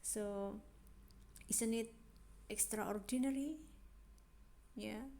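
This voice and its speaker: A woman's voice: mid-range voice, drawn-out words, creaky, warm tone. A woman with an Indonesian accent giving a recorded lecture on language teaching. She goes unhurriedly, repeats her points and keeps asking rhetorical questions with a frequent "yeah". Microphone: screen recording on a phone.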